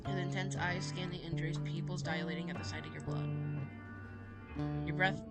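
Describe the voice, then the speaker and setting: Theatrical woman streamer over loud guitar music. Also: fairly low pitch, fairly even intonation, brisk. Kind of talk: live visual novel playthrough, commentary and reading aloud. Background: loud guitar game music.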